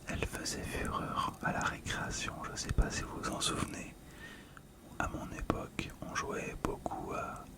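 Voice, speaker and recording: Middle aged asmr person, all whispered, calm and gentle, bit hesitant, right up on the mic. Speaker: ASMR video host.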